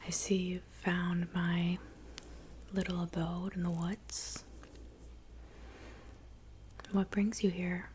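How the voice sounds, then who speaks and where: A woman playing a calm, helpful woodland witch in a soft, whispered ASMR roleplay, unhurried and murmuring. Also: on the low side, a little hiss or hum. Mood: calm, welcoming. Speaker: ASMR performer.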